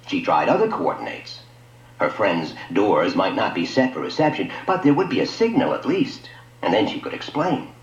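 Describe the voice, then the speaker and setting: Male story narrator, very lively intonation, echoey room, dramatic scripted radio reading. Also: mid-range voice. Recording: dull lo-fi audio, echoey room.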